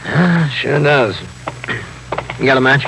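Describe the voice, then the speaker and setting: An American actor playing a stern, plain-spoken New York police captain in a 1950s radio drama. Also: male voice, mid-range voice, slow, creaky. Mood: businesslike. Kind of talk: 1950s radio drama acting and first-person narration. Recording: noisy 1950s radio broadcast recording.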